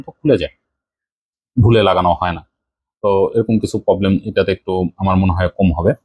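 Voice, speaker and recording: Bangladeshi guy doing a casual bike vlog review, lowish voice, dry close sound. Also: no echo. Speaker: motorcycle vlogger.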